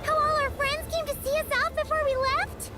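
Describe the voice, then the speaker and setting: A woman voicing a tiny, childlike fairy companion in a video game, perky yet fretful, with a squeaky voice. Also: flat delivery, brisk. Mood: wistful, anxious. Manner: perky, speaks of herself in third person. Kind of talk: video game character acting. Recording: loud game music behind.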